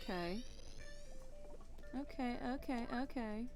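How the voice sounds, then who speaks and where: A hoarse young woman streaming a game, muttering to herself and swearing casually into a close mic. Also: a little high, expressive, slow, darkish tone, faint music behind. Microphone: new close mic.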